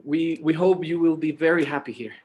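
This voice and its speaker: Casting: male actor as grown son, higher-pitched, hesitant, exasperated but fond, screen drama table read. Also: steady tone, fairly quick, a little mellow, lightly acted. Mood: polite, guarded. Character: adult son, exasperated yet affectionate with his father.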